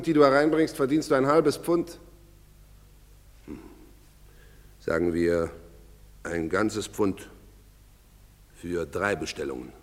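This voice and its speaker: An actor playing a patronizing, scheming master carpenter in a radio drama. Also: male voice, sits low, darkish tone. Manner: slow, patronizing, bargaining. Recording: long hall reverb.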